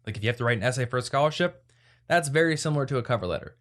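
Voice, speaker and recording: A man's voice: medium pitch, lively intonation, racing, fairly bright tone. A man hosting an advice podcast, talking in a casual, conversational way as he explains things. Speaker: podcast host.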